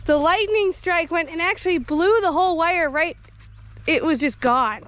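A young woman with a high, light voice vlogs outdoors along woods and fields, holding the camera at arm's length. She talks in a chatty, easygoing way and explains what she sees as she walks. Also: moderate pace, fairly bright tone. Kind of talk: casual vlog narration. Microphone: handheld camera at arm's length.

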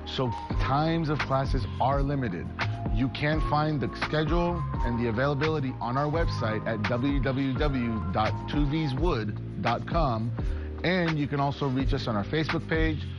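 Man, 40s, shop owner, upbeat joking pitch to camera on a lapel mic, loud music bed underneath. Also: measured pace. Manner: upbeat, chatty, joking salesman tone. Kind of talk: promotional interview to camera. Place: indoor workshop.

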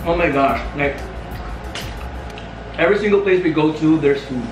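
A young man with a Filipino accent chats cheerfully while he eats, his voice sitting a little high. He sounds a bit far from the mic, in an echoing room. Music plays clearly underneath. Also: moderate pace. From Philippines. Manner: chatty, upbeat, laughs often, talks while eating. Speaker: vlogger. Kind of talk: casual food tasting chat. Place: indoors in a small room, seated at a table. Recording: echoey room from a distance with music.

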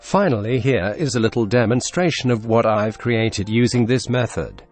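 Deadpan Russian-accented man, dry humor. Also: medium pitch, moderate pace, faint music behind. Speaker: tutorial narrator. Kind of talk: scripted screen-recorded tutorial voice-over.

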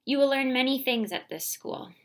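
A woman's voice: sits high, normal speed, a bit bright, pitched above the performer's own voice. A woman reading as a pleading, protective mother. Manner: pleading, emotional.

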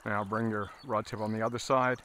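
A man giving a casual, halting fly fishing tutorial as an instructor, talking while he works outdoors. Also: lowish voice, level tone, bright, forceful tone. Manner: halting, explaining as he casts. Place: outdoors, standing in a river. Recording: outdoor recording with water noise. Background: running water.

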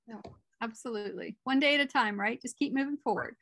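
Gravelly higher-voiced woman moderator. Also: fast talker, a bit bright, dry recording. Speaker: conference moderator. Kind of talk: moderating a conference Q&A. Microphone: video call.

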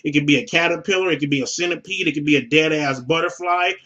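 A man's voice: on the high side, fast talker, bright, forceful tone, muffled recording. A man delivering a profane comedic rant in an emphatic, incredulous manner. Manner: emphatic, incredulous, ranting with exclamations.

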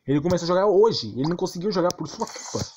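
A man's voice: high voice, lively intonation, very fast, darkish tone, a little hiss or hum. A man with a Brazilian accent giving informal game commentary, arguing insistently and repeating himself with plenty of slang. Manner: argumentative, insistent, repetitive, slangy. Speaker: gaming YouTuber.

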